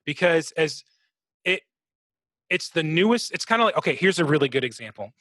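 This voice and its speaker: A man with a slightly high voice chats casually as a podcast co-host about video games. He swears freely and throws in vocal sound effects. The sound is very dry, with no room around it. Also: big pitch swings, normal speed, fairly bright tone, no room sound. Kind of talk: casual gaming chat.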